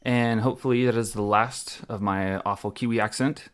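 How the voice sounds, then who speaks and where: A man's voice: lowish voice, steady tone, moderate pace. A young man co-hosting a movie podcast in casual chat, rambling with fillers and easy laughs. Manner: chatty, lots of fillers and laughs. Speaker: podcast co-host.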